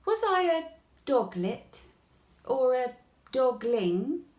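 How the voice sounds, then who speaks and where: A woman's voice: high-pitched, takes it slowly, pitched above the performer's own voice, full character voice. Kipper, a woman voicing an eager curious storybook dog. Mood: wondering. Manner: inquisitive, pleased with discoveries, expressive. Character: Kipper, a friendly curious childlike male puppy-dog. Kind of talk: children's bedtime storybook character voice. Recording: echoey room.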